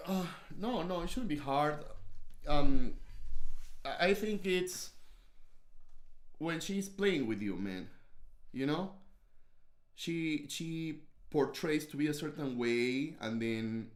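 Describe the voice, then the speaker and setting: A man's voice: a little high, expressive, takes it slowly, long pauses, roomy sound. A man from Peru with a strong Peruvian Spanish accent, a guest chatting casually and joking boastfully on a livestream.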